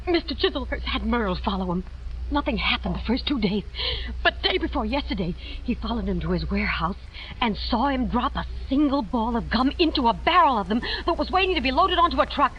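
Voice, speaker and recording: An actress playing a desperate, indignant femme fatale in a 1940s radio detective drama, earnest and melodramatic. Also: female voice, on the high side, expressive, brisk, fairly bright tone. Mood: earnest, insistent. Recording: dull, faintly noisy vintage radio recording.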